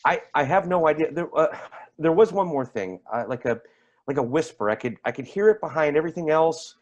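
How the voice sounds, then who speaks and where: An actor playing a rattled, defensive man giving halting, emphatic testimony in a dramatic staged reading. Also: on the high side, animated, dull audio, lightly acted. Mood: uneasy. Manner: halting, emphatic, repeats himself. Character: rattled, defensive man testifying about an eerie deer encounter.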